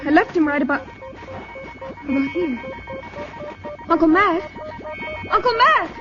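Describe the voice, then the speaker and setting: A woman's voice: extremely high pitch, lively intonation, a little slow. An actress playing an anxious young woman who pleads urgently, in a 1950s radio drama. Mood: pleading. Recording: dull old radio broadcast with music.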